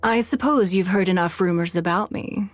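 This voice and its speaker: A woman voicing a poised, calculating, wealthy noblewoman in a fantasy video game, speaking in a measured, formal and haughty manner. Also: on the low side, no echo, faint music behind, natural voice. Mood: composed, confident. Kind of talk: video game character voice lines.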